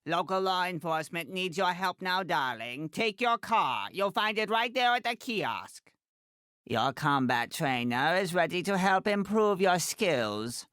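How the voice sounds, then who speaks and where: Game role: haughty little middle-aged woman designer, theatrical, wildly animated pitch, sharp bright tone. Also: a little slow, clear, resonant tone, dry recording, studio quality, exaggerated voice. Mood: brisk, instructive. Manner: haughty, dramatic, clipped delivery with affectionate condescension. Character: tiny, imperious, theatrical middle-aged female fashion designer for superheroes. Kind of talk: video game character voice lines.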